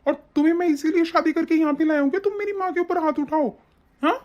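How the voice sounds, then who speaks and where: A woman's voice: medium pitch, brisk, natural voice. A woman playing an angry, domineering young husband and devoted son, bossy and accusing in a family melodrama. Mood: furious, accusing. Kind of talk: domestic family melodrama acting. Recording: dull, muffled lo-fi sound.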